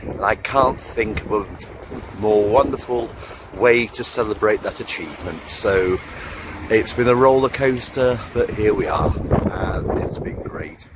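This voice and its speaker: Very loud wind noise surrounds a middle-aged British man speaking outdoors. His voice is fairly low and he takes his time, talking directly as a stage performer. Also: unhurried. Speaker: veteran pantomime performer. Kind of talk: unhurried talk to camera.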